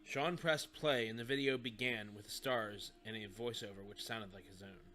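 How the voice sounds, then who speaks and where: A man's voice: lowish voice, normal speed, bright, forceful tone. A man reading scripted story narration for an audio drama.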